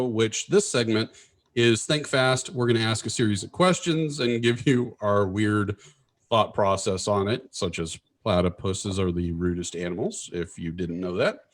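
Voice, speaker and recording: A man co-hosting a casual podcast, teasing his co-host and joking around. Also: lowish voice. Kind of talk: casual banter.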